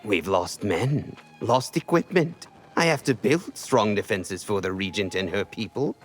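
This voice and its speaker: Slow, swooping, beleaguered male fort builder. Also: on the low side, animated. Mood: troubled, pleading. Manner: earnest, lamenting. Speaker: game character giving quests. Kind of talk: scripted video game dialogue.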